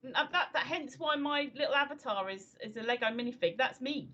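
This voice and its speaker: A woman's voice: high-pitched, a little fast, a bit bright. A woman around fifty chatting casually as co-host of a livestream talk show, hesitant and full of ums. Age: 50s. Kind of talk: casual chat. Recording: slightly dull lo-fi audio.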